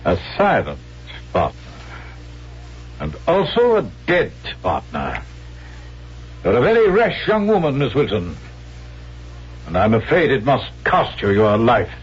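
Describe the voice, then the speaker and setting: A smooth-talking con man posing as an English gentleman. His stagey English accent is mannered and courtly, with big swings in pitch. It sounds like a dull old radio broadcast. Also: huge pitch swings, a little slow, pauses often, a little hiss or hum. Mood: quietly menacing. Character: suave male swindler posing as an English business agent. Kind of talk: 1970s radio drama acting. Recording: band-limited vintage radio broadcast with music.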